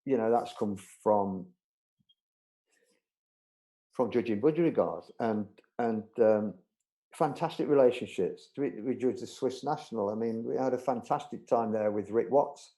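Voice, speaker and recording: Guest role: middle-aged man, mellow tone, rambling anecdotal delivery. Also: medium pitch, measured pace. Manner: chatty, anecdotal, frequent restarts and fillers. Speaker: budgerigar show judge, interview guest. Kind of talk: casual storytelling interview.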